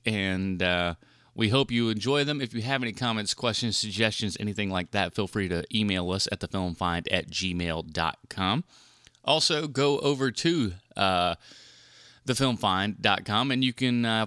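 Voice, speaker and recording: Man, podcast host, bright forceful voice, close dry sound, informal rambling chat. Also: lowish voice, clean tone, dry recording. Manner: rambling, informal, mildly profane. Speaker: podcast host reviewing films. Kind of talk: casual solo podcast chat.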